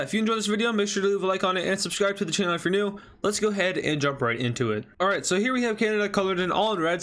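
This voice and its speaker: A man's voice: fairly high pitch, a bit bright. A man sounding stuffy from allergies, giving casual, conversational commentary as a video host, with informal self-deprecating asides. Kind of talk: casual commentary over a map scenario video.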